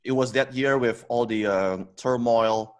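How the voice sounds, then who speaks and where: A man in his thirties who grew up in Indonesia speaks as a podcast guest at a middle pitch. He talks in a halting way, full of fillers and restarts, and laughs easily as he tells his story. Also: clean tone. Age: 30s. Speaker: podcast guest, company co-founder. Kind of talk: casual interview storytelling.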